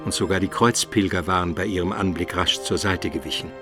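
A male actor plays a learned gentleman of Byzantium in a radio drama, inquisitive and measured. The man's voice is on the low side and articulate, with light music underneath. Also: fairly even intonation, natural voice. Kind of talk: German radio play, dialogue and narration.

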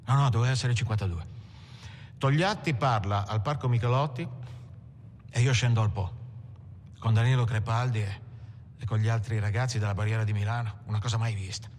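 An actor playing a tough, proud working-class gangster from Turin, reminiscing bluntly in a dramatic film monologue. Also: male voice, fairly low pitch, brisk, long pauses, slight background noise, close to an everyday voice. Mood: nostalgic pride. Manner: colloquial, blunt, defiant. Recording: music behind the voice.

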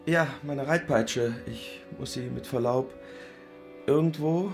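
An actor playing a polite, hesitant country doctor in a literary radio play. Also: male voice, mid-range voice, slow, faint music behind.

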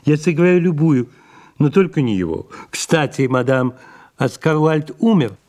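A man acting a dry, dutiful secretary to an aging diva in an audio play, speaking in a measured, formal way. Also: a little high, animated, darkish tone. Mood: reluctant, dry. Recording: music clearly behind the voice.

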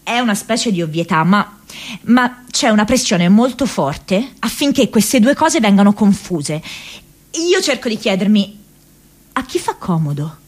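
A woman acting a young American activist in a radio theatre monologue, thinking aloud from her diary in a reflective, halting, conversational way. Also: mid-range voice, big pitch swings. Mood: reflective, earnest. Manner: halting, reflective, conversational, with hesitations and repeated words.

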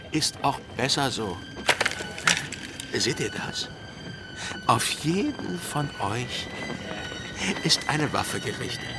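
An actor playing a brash, bossy pirate in a German radio drama, drawing out words with mocking menace. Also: male voice, mid-range voice, full character voice. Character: brash, bossy pirate holding captives at gunpoint. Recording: radio play with loud music.

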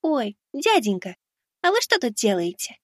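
A man's voice: very high-pitched, huge pitch swings, normal speed, a bit bright, slightly rough, no echo, studio quality, voice pushed up, exaggerated voice. A man voicing an eerie, curious little girl with a sweet, innocently inquisitive manner. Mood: sly curiosity.

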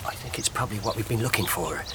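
An actor as a junior policeman in a radio play. He is deferential and hesitant, heard against very loud background noise. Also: fairly low pitch, lively intonation, fast, natural voice. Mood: subdued. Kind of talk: British radio drama acting.

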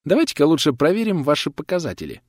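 A man voicing a young female doctor in an audiobook, polite, bashful and gently explanatory. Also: a little high, wildly swooping pitch, fast talker, dry recording, studio quality, voice pushed up. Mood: friendly, smiling.

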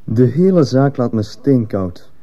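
A man's voice: medium pitch, lively intonation, measured pace, muffled recording. A man playing a cold, defiant teenage schoolboy in a radio drama, speaking curtly and defensively. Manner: curt, defensive, insolent. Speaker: actor in a radio play. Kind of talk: Dutch-language radio drama acting.

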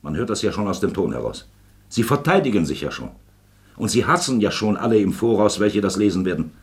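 An actor playing a shrewd, patient magistrate in a radio play, speaking deliberately and probingly with a fatherly air. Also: fairly low pitch, very animated. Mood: pressing. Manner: deliberate, probing, pausing for weight. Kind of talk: German radio play acting.

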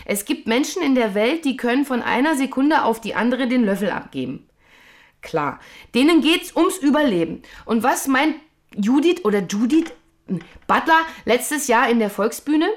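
A brash, stubborn, high-voiced hired reader. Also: female voice. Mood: earnest. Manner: blunt, slangy, protesting, stammering restarts. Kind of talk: German radio drama acting, colloquial.

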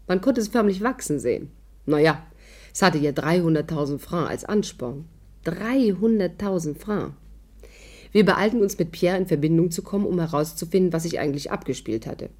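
A woman playing a wry, brisk storyteller recounting her own adventures in a 1950s German radio drama, with a dryly amused manner. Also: on the low side, animated, mellow.

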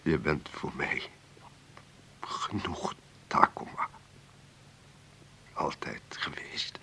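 A man acting a gloomy, ailing, wealthy old uncle in a Dutch radio play, speaking haltingly, wearily and with resignation. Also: very deep voice, animated, gravelly, bright, forceful tone, in a whisper, exaggerated voice. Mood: weary, fond.

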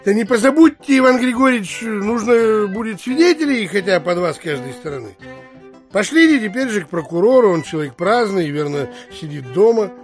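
An actor playing a blunt, grumbling middle-aged provincial landowner in an audio drama. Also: male voice, sits high, expressive, a little fast, soft background music. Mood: blunt, insistent. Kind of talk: theatrical audio drama acting.

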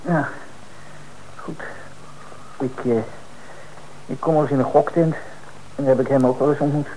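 A man's voice: mid-range voice, steady tone, normal speed, mellow, close to an everyday voice. An actor playing a defensive working-class suspect in a Dutch radio drama, speaking colloquially as he protests his innocence. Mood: hesitant, guarded. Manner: colloquial, hesitant, then indignant. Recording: noisy old radio broadcast recording.

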